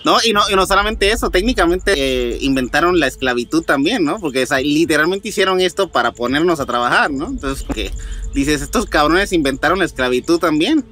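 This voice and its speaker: Informal higher-pitched man on podcast. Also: animated, brisk, sharp tone, faint music behind. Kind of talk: casual podcast chat.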